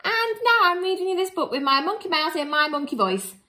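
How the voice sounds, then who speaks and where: A woman voicing a silly, boastful robot monkey from a storybook, loud and gleeful. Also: extremely high pitch, sing-song, brisk, pitched above the performer's own voice, heavily acted. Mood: gleefully silly.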